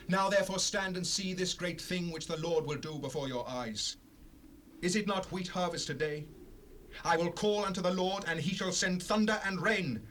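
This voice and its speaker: High-voiced old prophet, distant. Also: male voice, fairly bright tone. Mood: stern, exhorting. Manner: solemn, declamatory, formal. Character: old grey-headed prophet, stern, righteous leader addressing his people. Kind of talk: dramatized scripture oratory. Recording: noisy cassette rip, distant voice.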